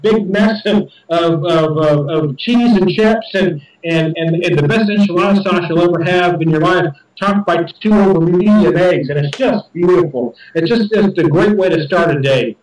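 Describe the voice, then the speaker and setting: A high-voiced man talks in a loose, rambling way, stumbling over repeated words as he goes. He sits well back from the mic, and the room gives his voice a clear echo. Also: normal speed, hardly any pauses, a little mellow, recorded from a distance. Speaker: podcast host reviewing beer. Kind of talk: casual chat.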